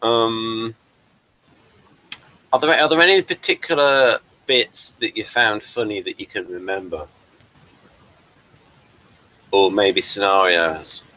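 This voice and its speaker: A man's voice: fairly low pitch, slow pace, pauses often, pushed and bright, low-quality recording. A man hosting a casual interview, rambling and chatty and addressing viewers as folks. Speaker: host and playwright.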